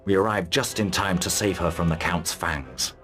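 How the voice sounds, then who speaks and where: An actor playing a stern, honourable army commander in a fantasy game, speaking in a formal, commanding, terse manner. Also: male voice, low voice, normal speed, a bit bright, faint music behind. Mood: matter-of-fact. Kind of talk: fantasy video game cutscene acting.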